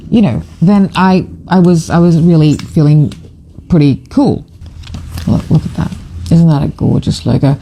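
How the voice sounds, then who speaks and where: A middle-aged photographer from Fiji gives a rambling, gushing live commentary to camera. The voice has a warm, relaxed timbre at a middling pitch. The talk drifts between asides, fillers and restarts. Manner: gushing, enthusiastic. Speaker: photographer and author presenting a book.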